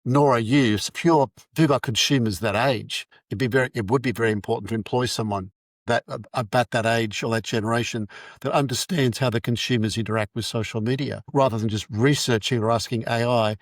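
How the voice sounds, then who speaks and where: A man's voice: mid-range voice, steady tone, a little fast, no echo, clean studio sound. A middle-aged man hosting a podcast, chatting casually with frequent restarts and fillers. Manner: rambling, many restarts and fillers. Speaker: podcast host. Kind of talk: casual interview chat.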